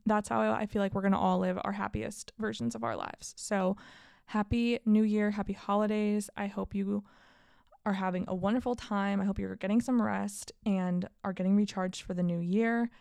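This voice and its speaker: Chatty young woman with vocal fry. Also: mid-range voice, fairly even intonation, moderate pace, a little mellow, no echo. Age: 20s. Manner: candid, chatty, upbeat. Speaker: podcast host. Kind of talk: casual solo podcast monologue.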